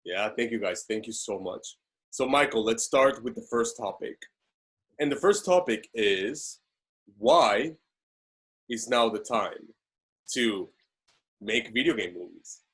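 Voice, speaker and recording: A man's voice: mid-range voice, lively intonation, slow, long pauses, a bit bright. Young man moderating an online talk. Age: young adult. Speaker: host, moderator. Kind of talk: introducing discussion questions. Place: plain room, indoors. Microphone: video call webcam.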